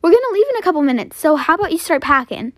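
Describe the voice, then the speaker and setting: A woman voicing a brisk, affectionate mother coaxing her child in a roleplay story. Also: sits high, sing-song.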